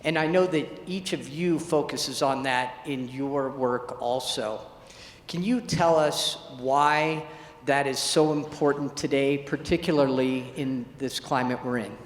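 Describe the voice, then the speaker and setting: A man moderating a panel discussion on stage, asking gracious, measured questions with some fillers. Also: medium pitch. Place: large event hall on stage. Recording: echoey hall, faint room noise.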